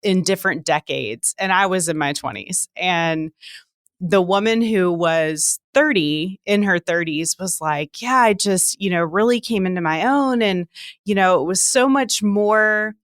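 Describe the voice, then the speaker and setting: A woman's voice: lowish voice, unhurried, dry recording, studio quality. A woman in her late thirties chatting casually on a podcast, telling stories by acting out her own thoughts. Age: late 30s. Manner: chatty, self-quoting storytelling.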